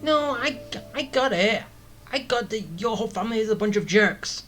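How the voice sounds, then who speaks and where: A woman's voice: a little high, expressive, normal speed, a bit bright. A woman giving casual, blunt gameplay commentary, often muttering asides to herself. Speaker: gaming video host. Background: game music behind the voice.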